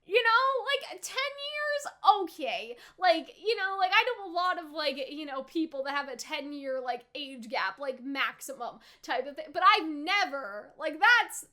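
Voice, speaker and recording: A young woman with a very high, bright voice chats through an anime review. She rambles in an animated, casual way, often checking in with "right?" and leaning on "like". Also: measured pace, few pauses. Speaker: anime reaction YouTuber. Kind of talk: casual reaction and review chat.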